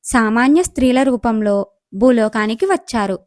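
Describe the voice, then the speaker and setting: A woman's voice: a little high, lively intonation, normal speed, no room sound, clean studio sound. A woman storyteller reading scripted narration for a moral story.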